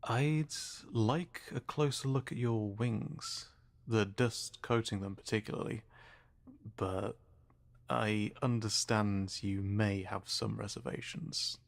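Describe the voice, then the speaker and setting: A slow, coldly polite male scientist interrogator, put on by a man running a tabletop game. The voice sits low and gravelly. It drags each word out with soft, quiet menace. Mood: coaxing. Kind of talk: voicing an NPC in a tabletop game.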